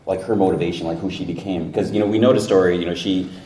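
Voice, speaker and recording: A man moderates a convention panel, asking long, winding questions. His voice is very deep and mellow. He is heard from a distance in an echoey room with faint hum. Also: fairly even intonation, fast talker. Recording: echoey room mic from afar.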